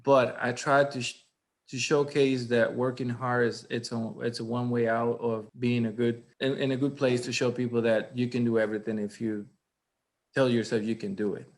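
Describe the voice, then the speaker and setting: Costa rican guy, accented english, earnest chef talk, quick bursts then halting. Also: mid-range voice, level tone. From Costa Rica. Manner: earnest, fast bursts, halting non-native grammar. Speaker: executive chef and co-owner. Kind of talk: casual interview talk.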